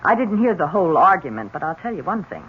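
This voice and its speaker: A woman's voice: fairly low pitch, sing-song, fast, husky, heavily acted. An actress playing a catty, cool woman in an old-time radio mystery, dry and sardonic. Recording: muffled hissy old radio recording.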